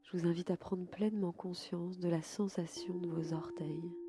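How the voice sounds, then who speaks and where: A woman guiding a relaxation session slowly and calmly, in a soft, breathy voice that often nears a whisper. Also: lowish voice, slight background noise. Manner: calm, soothing, unhurried. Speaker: podcast host and yoga nidra guide. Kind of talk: slow, soft guided relaxation.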